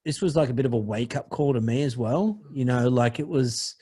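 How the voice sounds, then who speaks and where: A man's voice: medium pitch, fairly quick, warm tone, slightly rough. A man as a podcast guest, telling a candid personal story in a profane, rambling way with many restarts.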